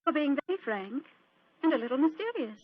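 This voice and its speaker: A woman's voice: high voice, sing-song, a little fast. An actress playing a poised, coolly witty society lady in a vintage radio drama, with a gracious, arch, polished delivery. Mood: arch, amused. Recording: muffled old radio drama recording.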